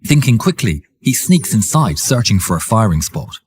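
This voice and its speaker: A man reading dramatic scripted war history narration in a theatrical, suspenseful way, with a husky, raspy voice. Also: fairly low pitch, big pitch swings, normal speed, darkish tone.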